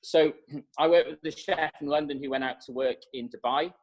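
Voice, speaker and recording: A man in his thirties talks over a video call with a flat, even tone. He answers at a steady pace, with many fillers and small restarts. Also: fairly high pitch, moderate pace. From North Wales. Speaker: executive chef, guest. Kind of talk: interview answers on a webinar.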